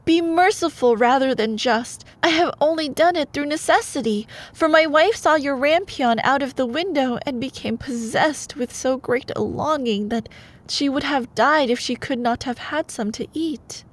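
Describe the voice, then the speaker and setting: A woman's voice: high voice, moderate pace, voice pushed up, close to an everyday voice. Woman as a pleading, frightened fairytale husband. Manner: pleading, earnest.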